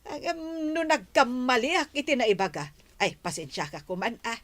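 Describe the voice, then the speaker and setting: Village gossip for radio drama woman acting: prying, insinuating busybody, high-pitched and very animated. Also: normal speed, dry recording. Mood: sheepish.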